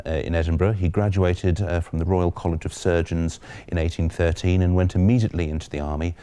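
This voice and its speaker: A man's voice: sits low, warm tone. A man explaining history in an interview, knowledgeable and slightly hesitant. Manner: knowledgeable, explanatory, occasional fillers. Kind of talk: documentary interview. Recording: music under the interview.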